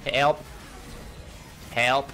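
A young man from Norway, a native Norwegian speaker, joking through live gameplay commentary and chat banter, swearing and shouting at big moments in the game. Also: medium pitch, level tone, extremely slow, faint music behind. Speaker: streamer.

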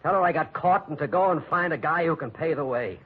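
A man's voice: on the high side, a little fast, husky. An actor playing a bitter, defiant young jailed man, American, sardonic and brusque, in 1940s radio style. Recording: muffled old radio recording.

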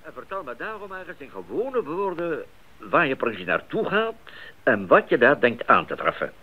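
An actor playing an older father, dry, probing and gently teasing, in a radio drama. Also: fairly high pitch, sing-song, normal speed, slightly rough, highs cut off. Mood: dry, questioning. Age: middle-aged or older. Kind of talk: 1980s Dutch radio drama acting. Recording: thin band-limited old radio recording.

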